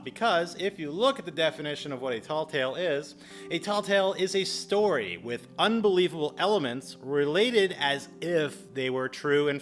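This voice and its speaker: Bright, animated man hosting over music. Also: on the high side. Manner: animated, exclamatory, with playful exaggerated asides. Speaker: video host giving public speaking tips. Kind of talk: casual, chatty explainer with acted-out examples.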